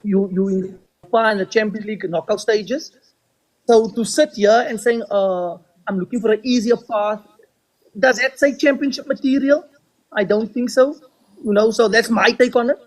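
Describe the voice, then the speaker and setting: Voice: medium pitch, moderate pace, low-quality recording. A podcast co-host giving a firm sports opinion, often repeating words while getting started.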